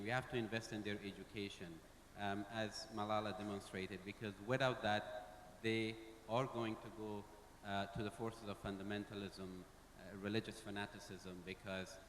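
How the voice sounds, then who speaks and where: A man giving impassioned remarks as a conference panelist, halting and repeating words as he searches for them. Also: lowish voice, fairly bright tone. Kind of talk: impassioned public speaking at a conference. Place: large conference hall. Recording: echoey, noisy conference hall.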